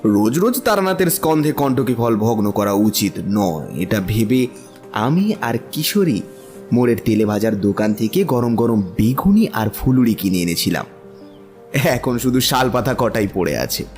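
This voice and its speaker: A man's voice: on the low side, sing-song, normal speed, a little mellow, faint music behind. A man narrating an audiobook story from a script.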